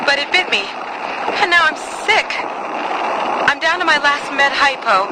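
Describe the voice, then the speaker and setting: An actress playing a frightened, sickened survivor pleading haltingly for help in a game audio log. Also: female voice, sits high, expressive, heavy background noise. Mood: frightened, weak.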